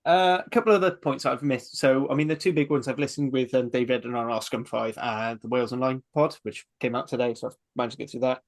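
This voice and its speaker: A man's voice: medium pitch, steady tone, quick pace, few pauses. A man co-hosting a podcast in casual rugby chat, going through his points methodically. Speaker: podcast co-host.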